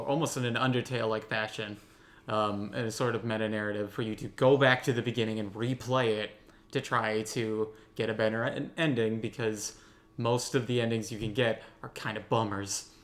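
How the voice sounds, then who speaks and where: A man's voice: fairly low pitch, fairly even intonation, normal speed, a bit bright. A man hosting a casual roundtable discussion, with hesitant phrasing and wry, self-amused asides. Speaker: host of a roundtable podcast.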